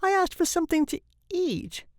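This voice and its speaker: Storybook old man, acted by a woman, weak cracked whine, querulous, complaining, raised pitch. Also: very animated, a little slow, no echo, clean studio sound, full character voice. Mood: whining protest. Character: querulous whining newborn old man of seventy.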